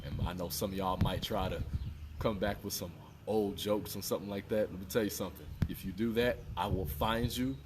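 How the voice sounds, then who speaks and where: A man's voice: deep voice, fairly even intonation, brisk, distant mic, noisy background. A man in his thirties giving an earnest, repetitive motivational talk outdoors. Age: 30s. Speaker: fitness vlogger. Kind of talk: casual motivational talk to camera. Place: outdoors by water and a fountain.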